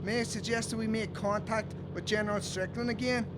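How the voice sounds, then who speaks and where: A respectful British army lieutenant acted by a man in a radio war play. He is high-pitched, cautious and earnest, with clear engine noise behind him. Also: fairly even intonation, fairly quick, natural voice. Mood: worried, respectful. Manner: deferential, earnest. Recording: noisy, with vehicle noise.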